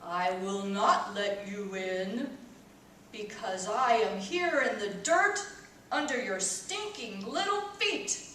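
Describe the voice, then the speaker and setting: A woman doing a buried water spirit with a very low, gravelly growl, slow and grim. It carries through a reverberant room and sounds distant from the mic, with a faint hiss behind it. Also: expressive, pushed and bright. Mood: menacing. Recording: echoey room, voice from far off.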